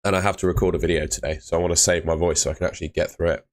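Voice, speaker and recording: A young man chatting live with viewers on a stream, laid-back and chatty, his voice fading and hoarse. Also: deep voice, level tone, racing. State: losing his voice.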